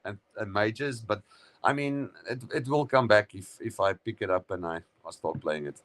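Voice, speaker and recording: A man's voice: lowish voice, normal speed, fairly bright tone. A middle-aged man, a guest chatting on a live stream, rambling with many fillers and restarts. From South Africa. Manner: rambling, full of fillers and restarts, says "brother". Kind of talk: casual chat on a live stream.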